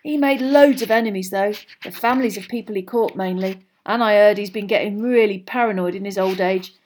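A woman's voice: medium pitch, fairly quick, a little mellow, a little hiss or hum, close to an everyday voice. A woman doing an easygoing young man. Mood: matter-of-fact. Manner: casual, explaining.

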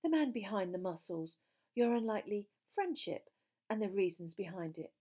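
A woman's voice: mid-range voice, big pitch swings, normal speed, dark tone. A woman voicing a smug, cold-hearted female tabloid reporter in a gushing, condescending way for a story reading. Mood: probing, oily.

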